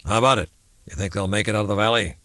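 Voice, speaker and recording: A man's voice: on the low side, racing, sharp tone, no echo. A man voicing a hard, menacing small-town boss in an audiobook, speaking in a commanding, threatening way. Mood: calculating, commanding.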